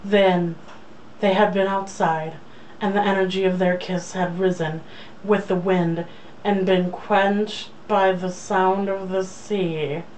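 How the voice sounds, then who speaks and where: A woman's voice: mid-range voice, fairly even intonation, slow, darkish tone. A young woman casually reading a romance novel aloud, with an irreverent, joking manner. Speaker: reader. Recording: distant mic, faint background noise.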